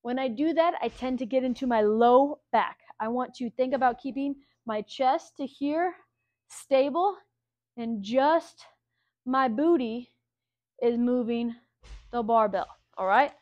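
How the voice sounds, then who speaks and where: Woman coach in her 30s, upbeat, bright higher voice, dry close sound, gym tutorial. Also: unhurried, no echo, lo-fi sound. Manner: upbeat, instructive, checks in with "okay?". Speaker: fitness coach. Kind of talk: exercise tutorial. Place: indoor gym.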